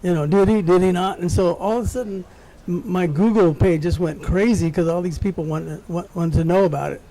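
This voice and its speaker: A man in his sixties speaks as an interview guest. His voice sits a little high for a man and is clearly creaky, with a lot of fry. He tells his personal recollections in a casual, conversational style. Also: a little fast, mellow, poor audio. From born in Mexico, grew up in the United States from early childhood. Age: 60s. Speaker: interview guest, former track runner.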